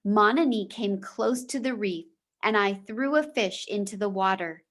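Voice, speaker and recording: A woman reads aloud as a teacher would to young students. Her voice sits at an average pitch and her pace is slow. The delivery is deliberate storytelling, open to brief explanatory asides. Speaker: teacher reading a book aloud to fourth graders.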